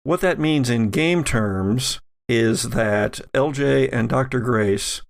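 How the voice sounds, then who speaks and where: A man narrating a tabletop roleplaying game as game master, in a halting, deliberate way. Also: mid-range voice, gravelly.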